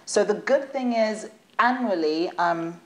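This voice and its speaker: A woman, a guest in a studio interview, telling stories in an animated, chatty way and laughing at times. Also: medium pitch, measured pace. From United Kingdom. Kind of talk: casual interview chat.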